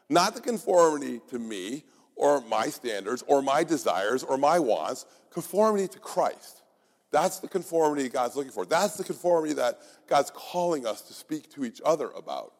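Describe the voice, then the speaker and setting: Pastor, male, raspy, exhorting sermon delivery, reverberant hall. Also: mid-range voice. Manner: earnest, exhorting, with restarts.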